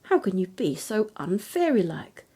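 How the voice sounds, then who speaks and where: A woman's voice: medium pitch, big pitch swings, measured pace, dark tone, breathy edge. A woman voicing a firm, protective storybook king with an emphatic, reasoning manner. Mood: indignant, firm. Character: firm, no-nonsense king, protective husband and father.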